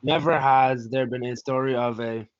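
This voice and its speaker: A man's voice: mid-range voice, fairly even intonation, moderate pace, close to an everyday voice. A male student playing a stern, mournful ruling prince in a school reader's theatre performance. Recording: dull audio with noise, clicks.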